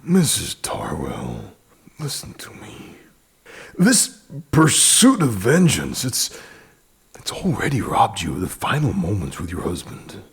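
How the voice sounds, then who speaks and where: A man acts out a stern but compassionate sheriff of the Old West for an audio drama. His is very husky and creaky, delivered slowly and emphatically, sinking into breathy lows. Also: wildly swooping pitch, exaggerated voice. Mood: pleading, insistent. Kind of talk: Western audio drama acting.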